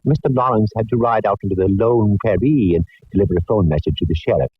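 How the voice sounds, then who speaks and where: An actor playing a fussy, indolent gentleman in a radio comedy-mystery, speaking in affected, florid endearments. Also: on the low side, fast talker, slightly rough, dry recording. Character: fussy, arch, indolent gentleman companion to a lady sleuth. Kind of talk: 1940s radio acting. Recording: muffled 1940s radio recording.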